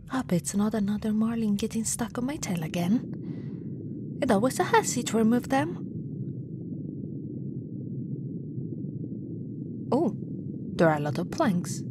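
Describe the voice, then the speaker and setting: Woman acting as high-voiced, animated giant mermaid girl. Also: a little slow, long pauses, background noise. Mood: startled, panicked. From Italy. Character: giant young female mermaid, cute, anxious, kindly. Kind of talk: ASMR roleplay.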